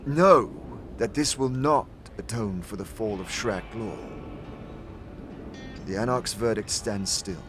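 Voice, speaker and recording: A man voicing a grave, honour-bound otherworldly warrior in an audio drama, solemn, measured and formal. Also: fairly low pitch, over music.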